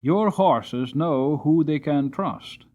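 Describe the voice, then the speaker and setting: A man voicing an older male stranger who speaks slowly and earnestly, recorded on a tinny narrowband line. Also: fairly high pitch, a little mellow, pitched above the performer's own voice. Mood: impressed.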